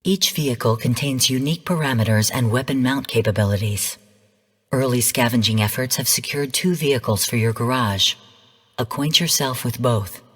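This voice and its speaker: An actress playing a female artificial intelligence mentor in a video game, delivering mission briefings in a calm, stern, measured and formal way. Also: very deep voice, husky, in a large hall, full character voice. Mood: measured, instructive. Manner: measured, formal, cool, precise. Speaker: game guide and mission narrator.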